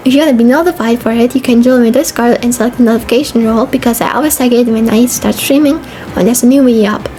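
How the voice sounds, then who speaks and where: A woman's voice: on the high side, quick pace, warm tone, a little hiss or hum. A young woman giving a casual, scripted video essay narration in an informal way, sometimes stumbling over long words. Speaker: video essay host and streamer. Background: background music.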